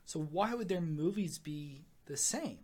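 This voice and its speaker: Blunt, skeptical higher-voiced man. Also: expressive, measured pace, a little mellow, slightly rough. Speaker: podcast co-host. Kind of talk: casual film discussion.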